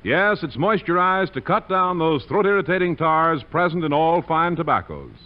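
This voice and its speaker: A muffled vintage radio recording of a male commercial announcer. His fairly high voice swings with lively emphasis in a polished 1940s sales read. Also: moderate pace.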